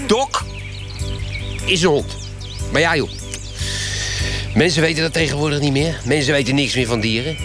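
An actor playing a grumbling male animal lover in a mock TV portrait, explaining things in a halting, teacherly way. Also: on the high side, natural voice. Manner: halting, didactic. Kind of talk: mock television portrait clip. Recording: music playing behind.

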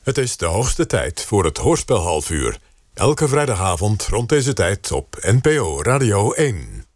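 A man's voice: lowish voice, very animated, measured pace, dry recording, clean studio sound. A man with a Netherlands Dutch accent making scripted station announcements on the radio.

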